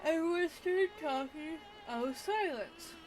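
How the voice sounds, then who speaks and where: A woman giving casual gameplay commentary in a halting way, often trailing off mid-thought. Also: very high-pitched, animated, music in the background, rough recording. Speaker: gamer recording a playthrough.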